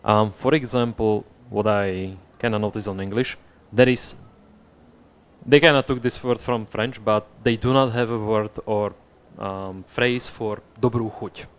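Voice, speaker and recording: Male audience member, low smooth voice, careful and slow. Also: steady tone.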